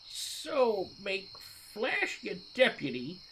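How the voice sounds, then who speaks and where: An actress playing a brisk, plain-spoken Old West townswoman with folksy speech in an audio drama. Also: medium pitch, wildly swooping pitch, fairly bright tone, slight background noise, heavily acted, low-quality recording. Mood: frank. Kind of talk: western comic-book audio drama acting.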